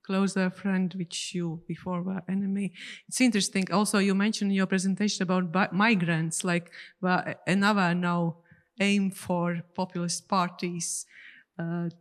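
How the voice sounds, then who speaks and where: Woman moderator, mid-pitched voice, halting second-language phrasing, panel questions. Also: little pitch movement. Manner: halting non-native English phrasing.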